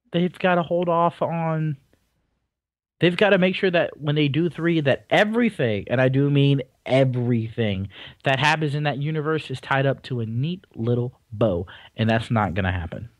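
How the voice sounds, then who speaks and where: A man's voice: mid-range voice, unhurried, dry recording. A young man co-hosting a casual video game podcast, blunt and opinionated and prone to rambling. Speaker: podcast co-host. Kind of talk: casual chat about video games.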